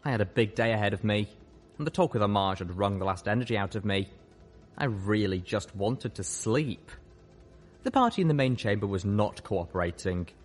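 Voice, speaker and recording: A man's voice: on the low side, lively intonation, moderate pace, faint music behind. A man reading a scripted first-person story aloud as an audiobook narrator. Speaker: audiobook reader.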